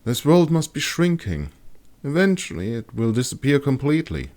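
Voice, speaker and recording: A man voicing a thoughtful, grave woman explorer who muses in a measured way in an audiobook. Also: medium pitch, big pitch swings, a little mellow, pitched above the performer's own voice. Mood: musing. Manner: musing, measured. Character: thoughtful woman in fantasy expedition, musing gravely.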